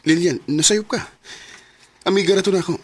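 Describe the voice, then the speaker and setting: A man's voice: high-pitched, no room sound. A male actor with a Cebuano accent playing a conflicted young business owner in a radio drama, speaking hesitantly. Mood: flustered. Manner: hesitant, halting. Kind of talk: Philippine radio drama acting.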